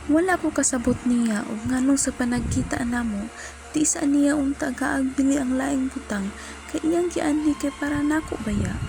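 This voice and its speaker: A woman's voice: sits high, normal speed, gravelly, noisy background. A woman playing a heartbroken young woman who narrates her own love story in a radio drama. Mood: reflective.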